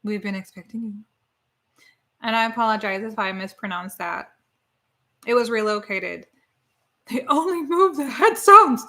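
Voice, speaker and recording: Bright, chatty woman co-host. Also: on the high side, animated, normal speed, pauses often. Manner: chatty and rambling, spelling words out. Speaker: co-host of a live watch party stream. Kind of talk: casual live-stream chat reading viewer comments.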